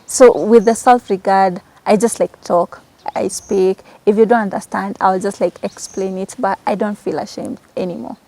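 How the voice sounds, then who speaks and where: Casting: young female Kenyan guest, Kikamba first language, mid-pitched and even, chatting casually about herself. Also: moderate pace. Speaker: interview guest. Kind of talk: casual personal talk.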